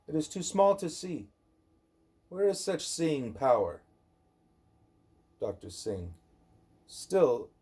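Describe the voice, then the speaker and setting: Guy reading a spiritual audiobook, relaxed dark-ish voice, average pitch, measured but stresses his points. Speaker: audiobook reader. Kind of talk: scripted reading of a spiritual dialogue.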